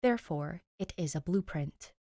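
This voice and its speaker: A woman's voice: low-pitched, expressive, moderate pace, dark tone, no room sound, clean studio sound. A woman voicing a formal, condescending teenage boy with clipped speech in an audio story. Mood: stern, instructing.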